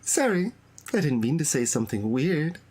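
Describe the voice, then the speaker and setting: A man voicing an earnest, clingy teenage schoolgirl from a visual novel, gentle and confiding in a soft, lifted voice. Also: fairly high pitch, sing-song, normal speed, a little hiss or hum. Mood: apologetic, confiding.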